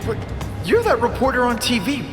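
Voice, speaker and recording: Young wisecracking superhero for a game, voice bounces around a lot, music behind. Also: male voice, very high-pitched, very animated, a little fast, in a large hall. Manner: wisecracking, eager. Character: young male masked superhero, wisecracking and earnest. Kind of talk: video game cutscene voice acting.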